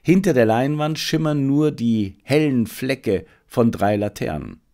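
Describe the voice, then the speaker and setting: A man voicing an observant, brooding gentleman detective in an audiobook crime story, with measured, terse delivery. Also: medium pitch, expressive. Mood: watchful.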